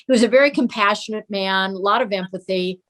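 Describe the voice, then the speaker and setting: A woman's voice: medium pitch, brisk, fairly bright tone. A mature woman speaking as an interview guest, telling fond family recollections in a casual storytelling style.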